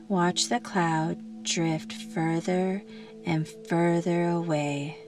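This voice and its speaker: A woman guides a meditation very slowly, in a fairly low voice. Her delivery is gentle and soothing, with long pauses between short instructions. Soft music plays behind her.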